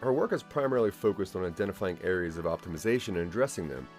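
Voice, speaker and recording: Low, expressive male story narrator. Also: very fast, soft background music. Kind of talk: scripted second-person audio drama narration.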